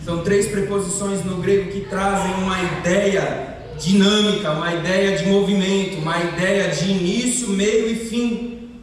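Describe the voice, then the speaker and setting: A man from the interior of São Paulo state with a Brazilian accent, preaching an expository sermon and building to emphatic proclamations. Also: on the high side. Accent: Brazilian Portuguese, São Paulo interior. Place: church hall. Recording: far-off mic in an echoey church hall with faint noise.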